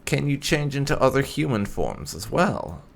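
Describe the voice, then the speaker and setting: Guy hosting a game playthrough, gravelly creaky voice, slow n musing, pitch goes all over the place. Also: very animated, crowd noise. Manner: musing aloud, reading choices and reacting. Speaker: gaming channel host. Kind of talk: casual game playthrough commentary with light roleplay.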